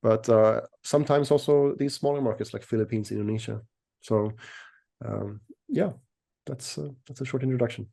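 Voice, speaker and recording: A middle-aged man from Sweden speaks as an interview guest, explaining things conversationally. His voice is mellow and relaxed, sitting at a medium pitch. He picks his phrasing with care and often doubles back on a word. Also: normal speed, creaky. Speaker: interview guest, equities analyst and newsletter writer.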